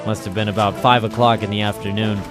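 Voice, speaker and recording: An actor playing a cynical, wisecracking waterfront operator in a 1940s radio noir drama, deadpan and terse. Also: male voice, fairly low pitch, quick pace, music in the background, natural voice. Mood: sardonic, tough. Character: cynical hard-boiled waterfront private operator, male, wisecracking. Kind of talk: 1940s radio noir first-person narration and dialogue. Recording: 1940s radio broadcast recording.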